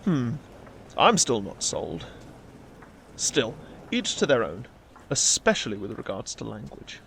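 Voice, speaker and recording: A man playing a dry, sceptical crewmate in a science-fiction audio drama, with a deadpan manner. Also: mid-range voice, unhurried, vocal fry, a little hiss or hum, full character voice.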